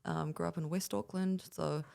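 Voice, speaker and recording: A young woman from New Zealand with a New Zealand accent, chatting as a guest musician in a relaxed way, with frequent ums and easy laughs. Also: lowish voice, normal speed, vocal fry. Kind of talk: casual interview chat.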